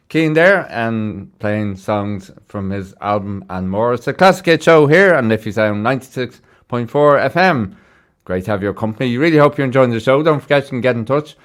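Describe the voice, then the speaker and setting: A man presenting a live music radio show, chatting casually from a studio. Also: medium pitch. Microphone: studio microphone.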